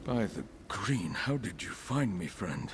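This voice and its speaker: A captive nobleman speaks very low, weary but courteous and earnest. It is video game dialogue, with clear rain and storm noise behind him. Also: very animated, unhurried. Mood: relieved, weary.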